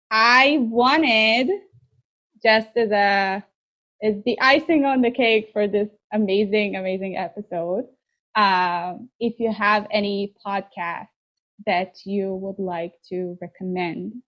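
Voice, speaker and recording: Unhurried woman with an Israeli accent. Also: mid-range voice, steady tone. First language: Hebrew. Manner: warm, effusive, with hesitant restarts. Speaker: podcast host. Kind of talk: casual interview chat. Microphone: video call. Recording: dull lo-fi call audio.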